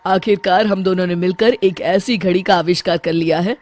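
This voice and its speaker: An actor playing an earnest inventor scientist with a declamatory manner in an animated Hindi story. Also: male voice, sits high, normal speed, natural voice. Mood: pleased. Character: earnest scientist inventor, proud then worried. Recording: faint background noise.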